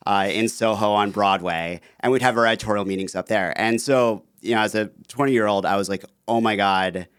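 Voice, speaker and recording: A man's voice: lowish voice, fairly quick, fairly bright tone, smooth, dry recording, clean studio sound. A man in his thirties, a podcast guest telling stories in a chatty, casual way, with lots of "like" and "you know". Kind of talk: casual interview chat.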